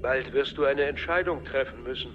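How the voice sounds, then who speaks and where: An actor playing a patient, subtly manipulative older mentor in a radio play, measured and paternal. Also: male voice, medium pitch, over music, natural voice. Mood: probing, fatherly. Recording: dull sound, clearly noisy ambience.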